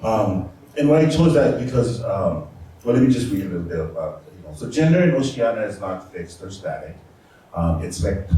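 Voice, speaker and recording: A man in his fifties with a very low voice reads his moderator's notes in a halting way, pausing often on fillers. He is heard from a distance in a strongly echoing event room with a faint hiss behind him. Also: dark tone. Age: 50s. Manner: halting, with frequent filler pauses. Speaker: panel moderator. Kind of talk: reading prepared panel remarks. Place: community arts center event room. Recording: echoey room, distant mic, faint noise.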